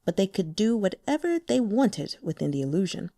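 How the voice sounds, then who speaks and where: A woman narrates a translated fantasy novel with lively, varied intonation and gives the characters their own voices. Her voice is mellow and warm, recorded close on a dry mic. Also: mid-range voice, moderate pace, creaky, no echo. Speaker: audiobook narrator.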